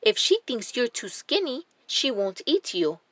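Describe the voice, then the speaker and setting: A gentle, clever little girl from a fairy tale, voiced by a woman reader. She is earnest and soft-spoken, with unhurried pacing. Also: fairly high pitch, sing-song, dry recording, full character voice. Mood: urgent, instructing.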